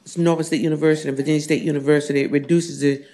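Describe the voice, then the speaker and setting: A hoarse man with a scratchy voice, a state senator formally presenting bills in a committee room, reading the text at length with many fillers. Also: fairly high pitch, little pitch movement, very fast, warm tone. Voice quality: scratchy, gravelly. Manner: reads bill text at length with frequent fillers. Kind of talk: formal legislative bill presentation.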